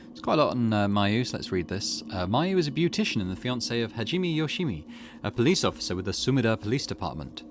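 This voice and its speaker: A man hosting a gaming video, reading game text aloud in a measured, narrator-like way. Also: mid-range voice, sing-song, noisy background. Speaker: gaming channel host.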